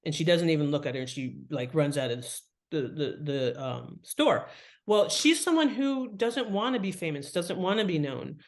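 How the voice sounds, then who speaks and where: A woman's voice: low-pitched, lively intonation, moderate pace, darkish tone. A woman talks as an interview guest with a writer's teaching bent. She explains in a conversational way and illustrates her points with examples. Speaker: guest author and writing teacher.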